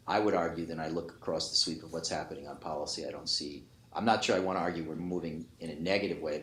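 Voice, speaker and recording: Very deep-voiced man in echoey room. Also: fairly bright tone. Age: 50s or 60s. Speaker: economist on a panel. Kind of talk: unscripted panel discussion remarks. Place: conference panel before an audience. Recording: echoey room with faint noise.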